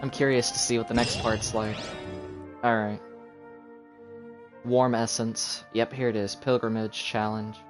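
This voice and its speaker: A man's voice: medium pitch, flat delivery, measured pace, long pauses, music in the background. A man casually commenting as he streams a video game, chatty and rambling. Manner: chatty, laughs along, rambles. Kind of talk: casual live gameplay commentary. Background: game soundtrack.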